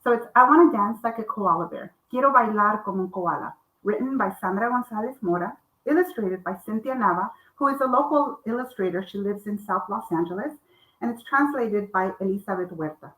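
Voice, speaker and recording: A middle-aged woman author, Spanish her first language, talks in an earnest, measured way over a video call. Her voice sits in an ordinary middle pitch. The room sounds echoey around her. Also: lively intonation, a bit bright. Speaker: children's book author. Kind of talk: library author talk.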